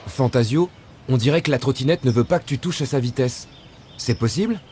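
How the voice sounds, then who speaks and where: A man's voice: medium pitch, fairly quick, noisy background, lightly acted. A man voicing an eager, friendly young adventurer in a cartoon, with an earnest delivery. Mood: alert. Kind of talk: cartoon voice acting.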